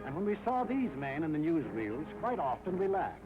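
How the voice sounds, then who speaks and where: An American man delivers emphatic wartime documentary narration in a 1940s style. His voice sits fairly high and swings expressively from phrase to phrase. The sound is a dull, aged film track with music underneath. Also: sing-song, moderate pace. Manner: dramatic, emphatic, rhetorical delivery.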